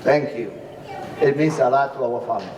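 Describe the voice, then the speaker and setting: A middle-aged man hosting a wedding party and thanking everyone, speaking haltingly as he searches for names. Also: medium pitch, lo-fi sound, heavy background noise. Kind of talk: thank-you speech. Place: large ballroom. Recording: echoey ballroom from far off.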